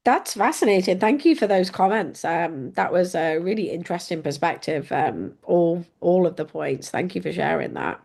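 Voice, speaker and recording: A woman's voice: fairly low pitch, expressive, moderate pace, vocal fry, hardly any pauses, a little mellow. A woman from England with an English accent, an unwell guest lecturer answering questions politely on a video call. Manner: polite and appreciative. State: unwell, under the weather. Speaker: guest speaker, history lecturer.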